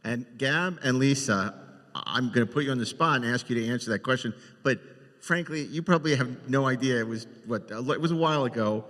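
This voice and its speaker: A man's voice: mid-range voice, fairly quick, fairly bright tone. A man moderating an informal live panel in a reverberant hall. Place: large indoor panel room.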